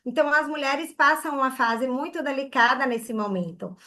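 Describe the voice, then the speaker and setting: High-voiced woman teaching a class. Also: normal speed, bright, forceful tone. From Bahia, Brazil. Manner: earnest, repeats "né?" and "tá?" to the class. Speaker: hair care instructor. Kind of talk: online class lecture.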